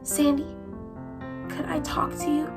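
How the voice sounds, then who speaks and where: Woman playing a high-voiced, stammering lovestruck heroine. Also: monotone, unhurried, faint music behind. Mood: hesitant, sad. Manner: stammering, melodramatic. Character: lovestruck, tearful, melodramatic young female storybook heroine.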